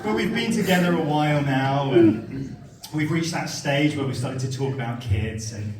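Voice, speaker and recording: A man's voice: medium pitch, moderate pace, heavy background noise. A young man from Somerset with a posh English accent, doing a dryly self-mocking stand-up set at a comedy venue. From Somerset, England. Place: comedy venue with live audience. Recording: roomy live stage sound from a distance, loud crowd laughter.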